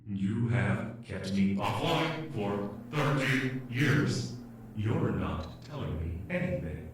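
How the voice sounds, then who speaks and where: Guy playing a polite worried male ai in a sad audio drama, talks real slow and careful, sounds far off from the mic. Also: on the low side, animated, noisy background. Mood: frustrated, pleading. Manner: measured, formal, articulate, hesitant. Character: anxious, polite, humanlike male artificial intelligence awakened after decades. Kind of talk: emotional audio roleplay drama. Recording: echoey, distant-sounding room.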